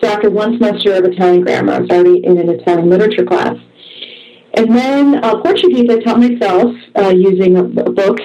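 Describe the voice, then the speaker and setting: A woman's voice: medium pitch, quick pace, dark tone, dull audio. A woman, a Russian speaker raised in the US, answering interview questions in an eager, chatty way. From former Soviet Union, raised in the US. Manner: eager, chatty storytelling with fillers. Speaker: interview guest, author.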